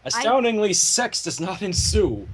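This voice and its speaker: A man hosts a casual livestream reading with playful theatrical flourishes. His voice sits a little high and swings widely in pitch. There is faint noise in the recording. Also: very animated, measured pace. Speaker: fanfiction reader on a livestream.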